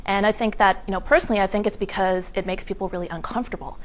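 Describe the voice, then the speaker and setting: A woman's voice: mid-range voice, racing. A woman giving thoughtful, explanatory interview answers.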